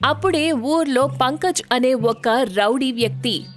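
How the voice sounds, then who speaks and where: A woman's voice: sits high, measured pace, faint music behind. A woman storyteller reading scripted narration for a moral tale.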